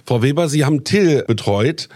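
A man's voice: on the high side, lively intonation, moderate pace, darkish tone, no room sound. A man hosting a podcast interview, asking friendly follow-up questions in a conversational way. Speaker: podcast host.

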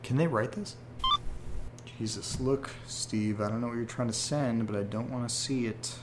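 A man's voice: mid-range voice, moderate pace, noisy background, natural voice. An actor playing an anxious young man who talks hesitantly and rambles, in a horror audio drama. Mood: irritated. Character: anxious young man receiving strange photos.

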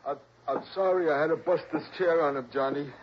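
A man's voice: on the high side, a little fast, lightly acted. Weak, faltering loyal pal, acted by a man. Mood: weak, sheepish. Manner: faltering, stammering. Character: weakened, injured male friend, apologetic and loyal. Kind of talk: 1960s radio drama acting. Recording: muffled old radio recording, faint noise.

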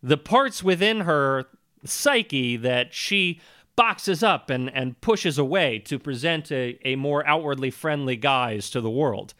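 A man's voice: medium pitch, lively intonation, takes it slowly, a bit bright. A man co-hosting a podcast, giving casual comedic commentary in a wordy, mock-formal way. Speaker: podcast co-host.

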